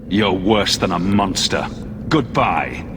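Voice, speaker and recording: A stern, protective male warrior in a video game. His lowish voice moves expressively, terse and firm, with clear noise behind. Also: expressive, slow. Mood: grim defiance.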